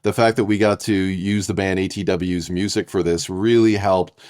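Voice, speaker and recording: Low-voiced man, halting podcast chat. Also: fairly even intonation, a little mellow, no echo, studio quality. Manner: halting, restarts often, thoughtful. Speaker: podcast co-creator and writer. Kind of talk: casual podcast intro chat.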